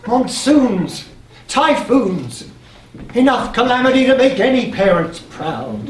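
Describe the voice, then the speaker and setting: An actor playing a weary, boastful aging sea god in a live stage play, declamatory and grumbling. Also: male voice, very high voice, sing-song, slow, heavily acted. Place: live in a playhouse, far from the mic. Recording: distant, echoey theatre stage, faint noise.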